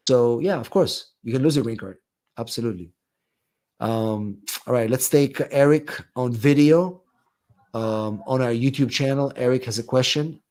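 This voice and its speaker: A man's voice: medium pitch, normal speed, a little mellow. A man, an immigration attorney, hosting a live question-and-answer stream, reading out viewers' questions and answering them in a loose, conversational way with many fillers. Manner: conversational, many ums and restarts, reads viewer questions aloud.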